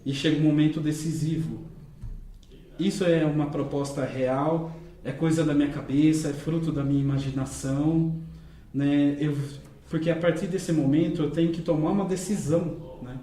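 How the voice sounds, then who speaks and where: A man leads a religious interview, speaking gently with lots of tag questions. His voice sits a little high and sounds warm. The echoey room has a faint hiss behind it. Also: fairly even intonation, normal speed.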